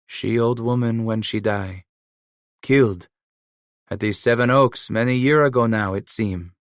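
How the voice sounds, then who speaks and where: A man's voice: medium pitch, measured pace, no echo, clean studio sound, close to an everyday voice. A man voicing a giant, scarred frontier killer who speaks broken English, mocking and menacing. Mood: taunting. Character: giant scarred French-Canadian frontier killer, cunning, playful.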